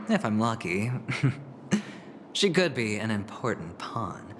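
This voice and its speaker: Game role: male actor as cocky young gambler-schemer, slow, swooping, theatrical delivery over prominent music. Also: medium pitch, lightly acted. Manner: teasing, dramatic, breaks into hushed asides and soft chuckles. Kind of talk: video game voice acting.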